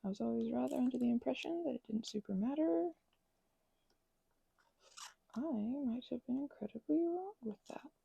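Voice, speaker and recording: A woman in a soft-spoken ASMR crafting ramble, talking close to the microphone in a meandering way and often slipping into whispers. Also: high voice, moderate pace, creaky, long pauses, slightly rough, highs cut off.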